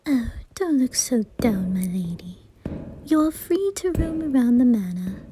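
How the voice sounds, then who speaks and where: A woman's voice: a little high, very animated, a little slow, background noise. A woman voicing a haughty, bratty teenage aristocrat boy in an anime ASMR roleplay, imperious and mocking. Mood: coolly hospitable.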